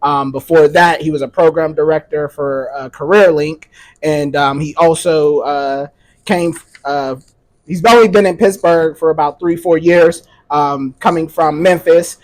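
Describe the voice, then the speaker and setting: A man's voice: fairly high pitch, fairly even intonation, measured pace. A man hosting an interview in a laid-back way with many fillers. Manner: laid-back, laughs often, lots of fillers. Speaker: host.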